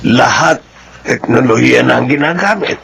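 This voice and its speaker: A man's voice: medium pitch, expressive, normal speed, creaky, a bit bright, husky, full character voice. An actor playing a humble, reluctant fifty-year-old father in a Filipino drama, hesitant and grumbling. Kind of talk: Filipino audio drama acting.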